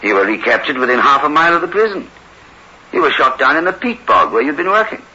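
An actor playing a concerned, authoritative prison governor with clipped, courteous official speech in a 1960s radio drama. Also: male voice, on the high side, very animated, fast talker, sharp tone, full character voice. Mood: soothing, explanatory. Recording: dull, hissy old radio recording.

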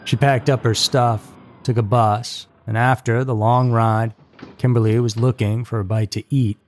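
A man's voice: medium pitch, little pitch movement, moderate pace. A man reading scripted true crime narration as a podcast narrator.

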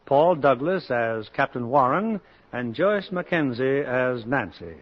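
A man's voice: medium pitch, animated, a little slow. A man giving dramatic scripted narration in the style of 1950s radio, as producer and narrator. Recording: muffled old radio broadcast with music. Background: music.

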